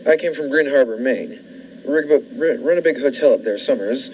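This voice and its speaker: A man's voice: medium pitch, brisk, slight background noise, close to an everyday voice. A man playing a forward, folksy hotelkeeper courting someone in a 1940s-style radio drama, with an insinuating manner. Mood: folksy, probing. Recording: dull lo-fi with music behind.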